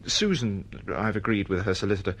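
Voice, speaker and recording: An actor playing a mild, easily flustered novelist in a radio drama, hesitant and politely protesting. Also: male voice, lowish voice, animated, a little fast, a bit bright. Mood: excited, evasive. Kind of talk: BBC radio drama acting.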